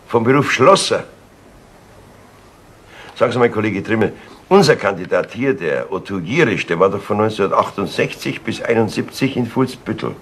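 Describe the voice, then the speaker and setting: An actor with a Bavarian accent playing a dry, composed police chief inspector in a 1970s German crime radio play, speaking deliberately. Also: male voice, mid-range voice, a bit bright, husky. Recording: noisy vintage radio drama recording.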